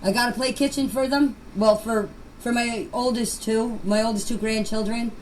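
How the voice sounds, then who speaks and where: An older woman with a high-pitched voice shares personal memories. She talks in a plain, folksy way and circles back to repeat phrases. The amateur voice clip carries some background noise. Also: steady tone, moderate pace, darkish tone, low-quality recording. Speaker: grandmother recounting memories. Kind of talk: informal personal recollection.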